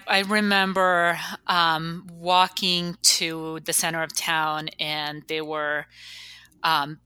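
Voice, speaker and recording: A woman in her forties from Bosnia, recounting personal memories as an interview guest in a halting, reflective way. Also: deep voice, sharp tone. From Bosnia (former Yugoslavia). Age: 40s.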